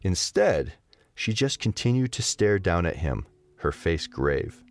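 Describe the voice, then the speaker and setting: A man's voice: deep voice, very animated, unhurried, gravelly. A man narrating a scripted story as the narrator of an audio drama.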